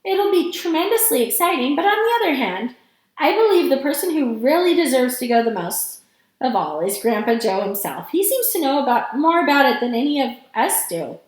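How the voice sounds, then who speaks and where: A woman voicing a mild, thoughtful father and husband in a storybook, gentle and hesitant as they reason. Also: high-pitched, very animated, fairly quick. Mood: gentle, considered. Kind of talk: storybook character reading. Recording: strongly echoing room.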